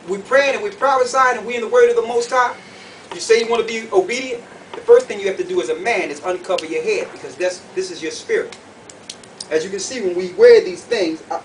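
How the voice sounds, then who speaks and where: A man preaching and teaching scripture verse by verse in an emphatic, didactic way, often checking that listeners follow. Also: sits high. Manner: emphatic, didactic, checks understanding with short questions. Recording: distant mic, faint background noise.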